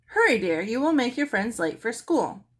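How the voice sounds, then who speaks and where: A woman's voice: fairly high pitch, big pitch swings, moderate pace, voice pushed up. Woman as a polite, coaxing storybook mother. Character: worried, polite mother of a young girl.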